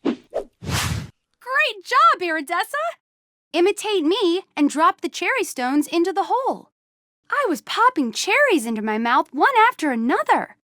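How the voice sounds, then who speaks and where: A woman's voice: very high-pitched, very animated, normal speed, fairly bright tone, no echo, clean studio sound, heavily acted. A woman voicing a playful, exuberant young animal fairy for a video game, encouraging and eager to tell stories. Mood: cheerful, encouraging. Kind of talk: animated video game character dialogue, storytelling and instructions.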